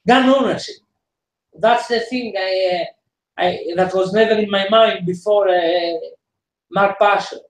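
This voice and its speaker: A man from Greece speaks accented English as an interview guest. His voice sits high, and he hesitates often, circling back with fillers and repeats. The sound has a roomy echo. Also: a little slow. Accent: Greek. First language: Greek. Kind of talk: casual interview conversation.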